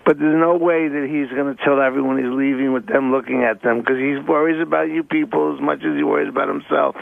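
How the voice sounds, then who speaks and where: A man's voice: fairly high pitch, fairly even intonation, fast, vocal fry, no room sound. A man calling in to a radio show, giving his opinion casually over a narrow phone line. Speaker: caller.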